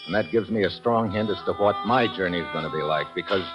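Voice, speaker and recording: Man playing a deep-voiced hard-boiled American operative. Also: fast, muffled recording, over music, lightly acted. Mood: tense, wry. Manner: hard-boiled, clipped, sardonic. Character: tough, wary, wisecracking male American operative narrator. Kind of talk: 1950s radio drama acting and narration. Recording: vintage 1950s radio broadcast.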